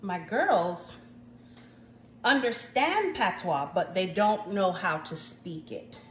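A woman's voice: mid-range voice, animated, slow. A woman in her forties with an American accent, hosting a casual baking tutorial in her home kitchen and chatting to viewers with frequent asides. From United States, Jamaican household. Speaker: home cooking show host. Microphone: phone camera at arm's length. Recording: echoey kitchen with faint noise.

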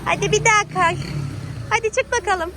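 A woman's voice: very high voice, moderate pace. A woman talking playfully to her small child in a coaxing, sing-song way, like a mother out at a playground. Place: outdoor playground. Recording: noisy outdoor recording with background ambience.

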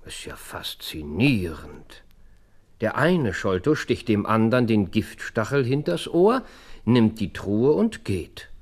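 An actor playing a shrewd, ironic gentleman detective with a wry, mock-polite, musing manner in a German audio drama. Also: fairly low pitch, sing-song, slow, exaggerated voice. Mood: sarcastic.

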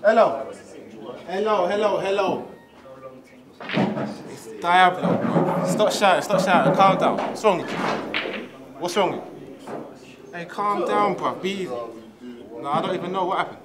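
Brief: male actor as a soothing young lad, slightly high voice, heavy background noise. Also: big pitch swings, a little slow, long pauses. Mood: placating. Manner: placating, repetitive slang. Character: young male London street mediator, calming others. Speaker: actor in a street skit.

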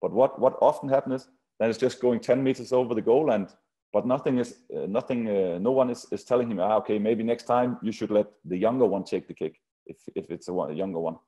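A set-piece coach, a man whose first language is Swiss German, speaking haltingly with restarts on a webinar video call. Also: on the low side, dark tone, husky. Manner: halting non-native English with restarts. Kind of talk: webinar talk.

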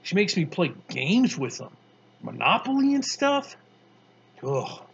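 Mars Bar, a cocky loud street kid, as a man puts him on. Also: high voice, a little slow, vocal fry, breathy edge, highs cut off, voice pushed up, exaggerated voice. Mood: boastful. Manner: slangy, boastful, excitable storytelling. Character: brash streetwise young boy, cocky and loud.

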